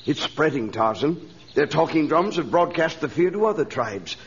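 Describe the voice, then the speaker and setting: A man's voice: on the high side, expressive, normal speed. A man playing an earnest, anxious missionary in a radio adventure serial, well-spoken and urgent. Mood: worried. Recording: dull, noisy old radio recording.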